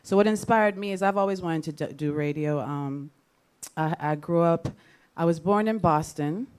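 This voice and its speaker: A woman's voice: deep voice, normal speed, a little mellow, clean tone. A woman speaking informally as a radio host on a panel, with an upbeat, playful way of talking. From Jamaica. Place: live panel event with an audience.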